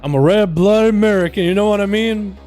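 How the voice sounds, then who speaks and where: A young man from Russia with a high yet mellow voice, loosely calling video game duels on a livestream and chatting to viewers as "boys." Faint music plays underneath. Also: brisk. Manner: chatty, calls out to chat as "boys". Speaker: livestream host and duel caster. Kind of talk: casual game commentary.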